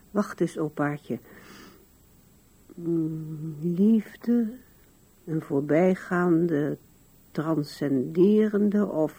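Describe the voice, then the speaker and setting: An actress in a 1970s radio play voicing an old widow who muses hesitantly and wistfully, with a gravelly, husky voice. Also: female voice, deep voice, mellow, full character voice. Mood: wistful musing. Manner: hesitant musing with sighs and murmurs. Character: musing old widow Anna, wistful, fond, wryly amused. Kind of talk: 1970s Dutch-language radio play acting.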